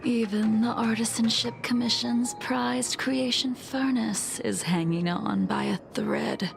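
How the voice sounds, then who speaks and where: An actress voicing a calm, provocative swordswoman in a video game, measured and haughty. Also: on the high side, vocal fry, over music, full character voice. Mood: cool, sardonic. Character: cool, teasing swordswoman, calm and quietly provocative. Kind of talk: scripted game voice acting.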